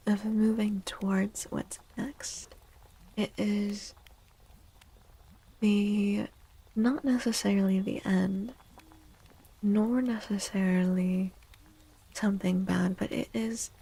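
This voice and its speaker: A woman giving a tarot reading in soft-spoken ASMR style, gentle and reassuring, with a breathy voice that often drops into a whisper. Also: mid-range voice, poor audio. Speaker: tarot reader, streamer.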